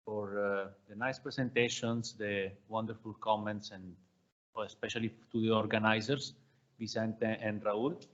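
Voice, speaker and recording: A man closing an online workshop session with thanks, speaking briefly and with small pauses. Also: fairly low pitch, a bit bright. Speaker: session chair. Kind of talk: closing remarks. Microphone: video call. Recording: dull lo-fi video call audio.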